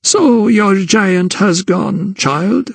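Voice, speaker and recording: A shrewd old grandmother who speaks slowly and with a knowing calm, as a male narrator puts her on. The voice is lifted well above his own and is heard dry and close. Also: high-pitched, vocal fry, husky, dry recording, voice pushed up, exaggerated voice. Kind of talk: audiobook character voice.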